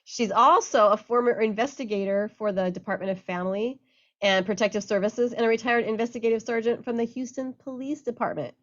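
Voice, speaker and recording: A woman's voice: a little high, brisk, fairly bright tone. Upbeat woman co-hosting radio, lo-fi. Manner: enthusiastic, upbeat. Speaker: radio show co-host. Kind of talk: casual chat and guest introduction.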